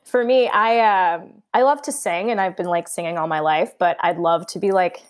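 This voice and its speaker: A woman's voice: on the low side, normal speed. A young woman chatting as a podcast guest, fond of the filler "like". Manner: warm, uses "like" a lot. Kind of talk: casual chat.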